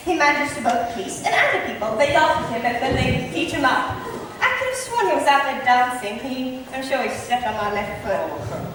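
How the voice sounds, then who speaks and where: A young woman playing a sharp-tongued, mocking lady in amateur stage Shakespeare, recorded from far off in a noisy hall. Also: high voice, very animated, normal speed. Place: drama club stage in a large echoey room. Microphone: far camera. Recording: distant, noisy, echoey hall.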